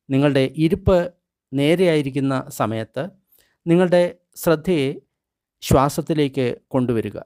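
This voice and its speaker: Male meditation guide, fairly high pitch, scripted instructions in short paused phrases, very dry sound. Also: a little mellow, no echo. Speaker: meditation guide reading a translated book.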